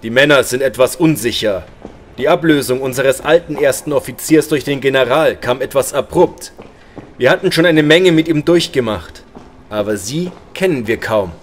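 A man's voice: medium pitch, normal speed, slight background noise, lightly acted. A man playing a cautious military first officer, deferential and formal, in an audio drama. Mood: earnest, deferential.

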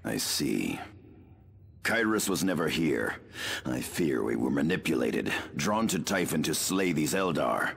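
Grave game warrior, extremely low gravelly voice, brooding, suspicious, solemn. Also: male voice, very animated, unhurried, fairly bright tone, exaggerated voice. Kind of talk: dramatic video game acting.

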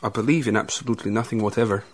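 An actor in a radio drama playing a courteous, pedantic down-and-out stage actor, deliberate and theatrical. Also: male voice, lowish voice, lightly acted. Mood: grandly earnest. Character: pedantic, theatrical down-and-out male actor, a wandering guest.

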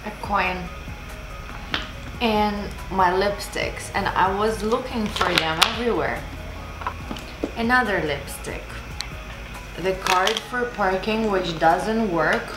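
A young woman vlogger, speaking English as a second language, chats casually at a slow pace. Her voice has a roomy echo, as if recorded indoors a little way from the camera, and music plays clearly behind her. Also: medium pitch. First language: not English. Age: 20s. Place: small room indoors, seated at desk. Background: background music.

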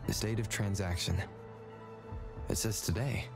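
A man's voice: fairly low pitch, steady tone, unhurried. A middle-aged man streaming a video game and reading its on-screen text aloud. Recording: loud game music behind.

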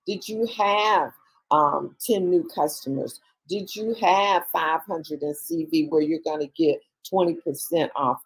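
A husky-voiced woman with a lowish pitch speaks on a video call to her sales team. She coaches with urgency, firing off question after question. The tone is motivational. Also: low-quality recording. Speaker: sales leader addressing a team.

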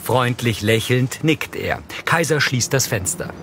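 A man reading scripted audio description for a TV crime drama, matter-of-fact and clearly articulated. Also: mid-range voice, lively intonation, a little slow, background noise. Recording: TV soundtrack playing underneath. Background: loud music and vehicle sounds.

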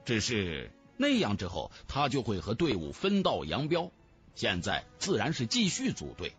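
A man's voice: lowish voice, huge pitch swings, normal speed, fairly bright tone, soft background music. A man narrating a fantasy novel in a dramatic, theatrical storytelling manner. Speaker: audiobook narrator.

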